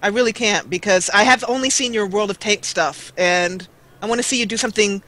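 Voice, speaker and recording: A woman's voice: medium pitch, a little fast, fairly bright tone, low-quality recording. A woman chatting casually as a guest on a gaming livestream. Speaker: guest, gaming video creator. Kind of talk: casual chat. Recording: clear background noise and ambience.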